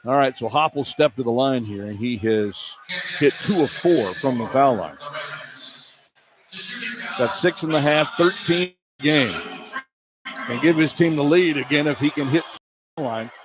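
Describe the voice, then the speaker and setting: A man's voice: medium pitch, a little slow, long pauses. A man doing live radio play-by-play of a basketball game in short, clipped phrases as the announcer. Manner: clipped phrases, animated on big plays. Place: indoor college basketball arena. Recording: live arena broadcast with background noise. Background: arena ambience.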